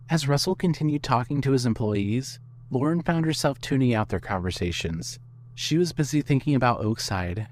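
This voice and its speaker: A man narrating a scripted fiction story in the third person. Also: medium pitch. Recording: clearly noisy background.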